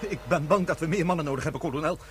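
A man's voice: on the high side, very fast, loud music behind the voice, close to an everyday voice. An actor playing a frightened, deferential soldier in a radio play, stammering and hesitant as he reports to his superior. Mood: fearful.

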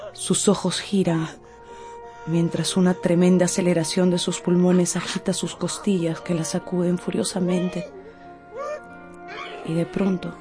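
A woman narrates a radio novel in a measured, dramatic storytelling manner with weighted pauses. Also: lowish voice, mellow. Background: music.

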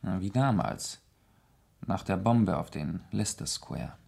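An actor in a 1970s German radio play, playing an unruffled British lord who probes gently in a measured, hesitant way. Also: male voice, low voice, lively intonation, creaky, husky, exaggerated voice. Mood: attentive, probing. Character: probing, unruffled British gentleman club companion, addressed as lord.

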